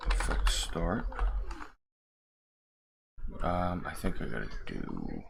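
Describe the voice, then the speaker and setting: A man with a gravelly, extremely low voice mutters casually as he works through game modding. He speaks slowly in short fragments, thinking out loud with the odd curse. Keyboard typing and clicks sit behind him. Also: sing-song. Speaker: game modder. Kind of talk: casual screen-recorded work commentary. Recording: clear background noise, keyboard clicks. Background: computer keyboard typing and clicks.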